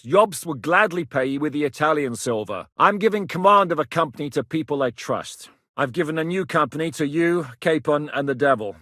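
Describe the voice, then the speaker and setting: An actor playing a shrewd, resolute medieval rebel captain who speaks plainly and with authority in a video game. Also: male voice, on the high side, a bit bright, no room sound, clean studio sound. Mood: steady, commanding. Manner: authoritative, decisive, plain-spoken. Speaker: military leader planning operations. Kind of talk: video game dialogue acting.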